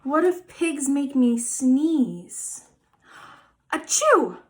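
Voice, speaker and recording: A woman voicing an anxious, dramatic storybook elephant with a halting, overwrought delivery. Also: high voice, very animated, full character voice. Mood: flustered, sneezy. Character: anxious, dramatic, sneezy elephant, a devoted best friend.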